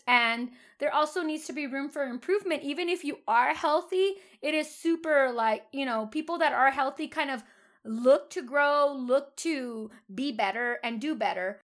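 High-voiced woman therapist co-hosting a podcast. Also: a bit bright. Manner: laughs often, explains patiently. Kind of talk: casual podcast chat.

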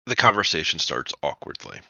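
Really low voiced guy running a tabletop game, crisp bright tone, narrating scenes. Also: huge pitch swings, fairly quick, no echo, highs cut off. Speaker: game master.

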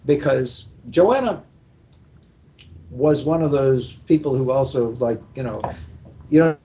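A man's voice: mid-range voice, animated, slow, mellow. An older man talking casually as a panel guest, rambling through anecdotes like a seasoned book editor. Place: live convention recording over internet call. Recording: dull, muffled internet call.

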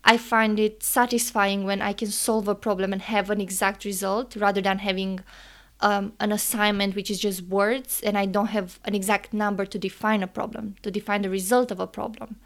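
A woman's voice: mid-range voice, flat delivery, moderate pace, a bit bright. A young woman from Romania with a Romanian accent, a podcast guest answering questions earnestly, with some non-native phrasing and easy laughter. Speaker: podcast guest, engineering student. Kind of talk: casual interview answers.

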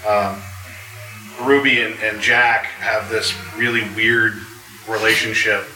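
A man's voice: on the low side, fairly even intonation, slow, sharp tone, faint music behind. A man talking casually and wryly on a live convention panel as the creator and writer of an audio drama. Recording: echoey, distant mic with clear noise.

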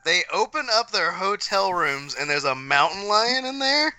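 Very bright, sharp-voiced man bantering. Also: high-pitched, very animated, normal speed, no room sound. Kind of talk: casual chat.

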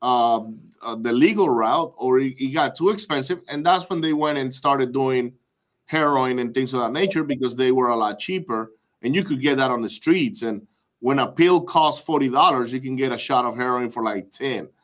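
A man's voice: medium pitch, moderate pace. A man from Venezuela hosting a podcast interview, talking in a casual, chatty way with many "uh" fillers. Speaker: podcast host.

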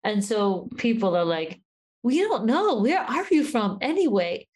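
A woman's voice: on the high side, big pitch swings, unhurried, darkish tone. A woman with a mixed accent, co-hosting in casual chat, talkative and laughing often. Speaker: podcast co-host.

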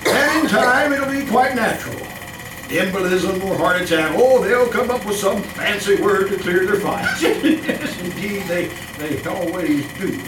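A man's voice: high-pitched, expressive, measured pace, noisy background. An actor playing a sly old male murderer in a theatrical old-time radio horror style, drawing out and repeating his words. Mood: gloating, menacing. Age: older. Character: gloating old male murderer, sly, cackling, cowardly when cornered. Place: live stage performance. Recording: roomy distant stage recording, loud music.

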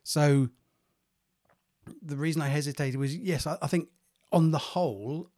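A man co-hosting a podcast, slightly croaky from a cold, talking thoughtfully with hesitations and repeated words. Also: on the high side, a little slow, dark tone. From England. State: croaky, getting over a cold. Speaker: podcast co-host, therapist. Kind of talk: casual podcast chat.

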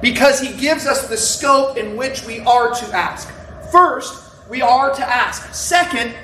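Loud-voiced preacher in a roomy church. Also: male voice, extremely high pitch, measured pace, a little hiss or hum. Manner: loud, conversational preaching with asides to the congregation. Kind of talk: sermon. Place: church sanctuary. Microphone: no microphone, recorded from a distance. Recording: roomy, distant church recording.

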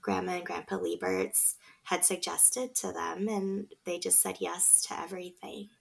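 A woman's voice: lowish voice, fairly even intonation, measured pace, vocal fry, slightly rough, low-quality recording. A woman in her thirties, a podcast guest, talking in an earnest, reflective way with many fillers. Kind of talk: casual conversation.

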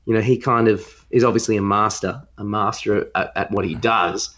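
Low-voiced man chatting, slightly dull recording. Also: fairly even intonation, normal speed, fairly bright tone. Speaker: podcast host. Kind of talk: casual film discussion.

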